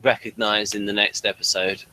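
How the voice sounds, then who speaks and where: A man co-hosting a casual unscripted chat, halting and repeating his phrases as he goes. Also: fairly low pitch, level tone, sharp tone, rough recording. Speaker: podcast co-host.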